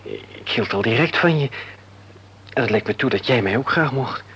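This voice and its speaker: A man's voice: mid-range voice, animated, moderate pace, a bit bright, breathy edge, full character voice. An actor playing an earnest young man in a radio drama who was hurt but forgives, speaking directly.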